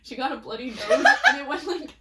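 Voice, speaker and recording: A young woman with a fairly high voice joins the chat, quick and slangy. She sits away from the mic, so she sounds distant. Her voice rings in an echoey room with a buzz of background chatter. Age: young adult. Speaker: podcast co-host. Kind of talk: casual chat.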